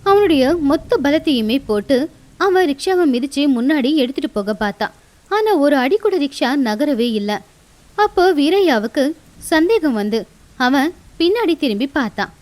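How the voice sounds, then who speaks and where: A woman's voice: high-pitched, very animated, moderate pace, darkish tone. A woman narrating a scripted moral tale as a storyteller.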